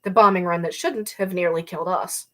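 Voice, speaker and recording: A woman's voice: on the low side, fairly even intonation, brisk, pushed and bright. A woman voicing a sardonic young sniper in a novel reading, grumbling and dry.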